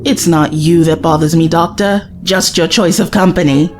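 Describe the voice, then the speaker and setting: A woman's voice: low voice, steady tone, moderate pace, a little mellow, lightly acted. An actress playing a spirited, sceptical young woman, a traveller's companion in an audio drama, questioning and cheeky. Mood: exasperated. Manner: questioning, cheeky, exasperated edge.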